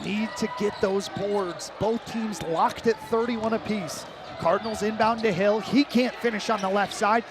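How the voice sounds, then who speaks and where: High-pitched male basketball play-by-play announcer. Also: steady tone. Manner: clipped, rapid-fire descriptive phrases. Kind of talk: live radio basketball commentary.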